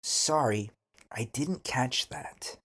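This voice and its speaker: A man teaching an English lesson, speaking deliberately and enunciating carefully as he gives model phrases for learners to repeat. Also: mid-range voice, fairly bright tone, breathy edge.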